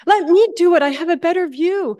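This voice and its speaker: An American woman voicing whining, excitable young children pestering their mother in a sermon anecdote, pleading and insistent. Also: very high-pitched, brisk, voice pushed up. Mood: excited pleading.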